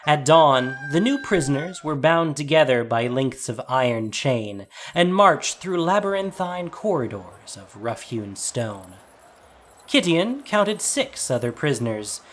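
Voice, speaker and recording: A man narrating a scripted story as a dramatic storyteller. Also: on the high side.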